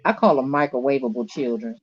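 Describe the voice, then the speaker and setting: A woman's voice: extremely low pitch, fast talker, breathy edge, lo-fi sound. A woman in her late forties from North Carolina with a Southern accent, hosting a casual online chat in a folksy way, calling people honey and sweetie. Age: late 40s. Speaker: host.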